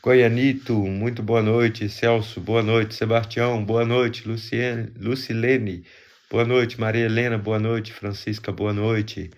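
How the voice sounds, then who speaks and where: A man with a fairly low voice and a Brazilian accent, congested from a cold. He hosts a live stream in a casual, chatty way, speaking directly to his viewers. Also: flat delivery, slow pace. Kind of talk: casual livestream chat, greeting viewers.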